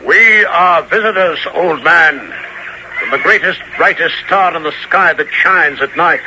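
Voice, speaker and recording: A man's voice: on the high side, a little slow, muffled recording, close to an everyday voice. A man playing a bold adventurer in a radio drama who poses as a lofty star god, pompous and declamatory. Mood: threatening, pompous. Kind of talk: 1970s radio drama acting. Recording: noisy old radio drama recording.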